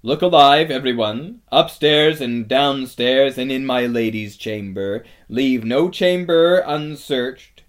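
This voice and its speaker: A great storybook lion, acted by a male narrator, loud and hearty. He gives commands with kindly authority. The delivery is bold and rousing. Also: mid-range voice, measured pace, few pauses, close to an everyday voice. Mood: brisk, commanding.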